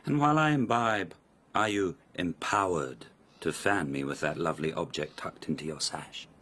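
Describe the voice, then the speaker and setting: An actor playing a haughty, sardonic palace lord in a radio drama, speaking with formal, mocking insinuation. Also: male voice, low-pitched, moderate pace, a bit bright.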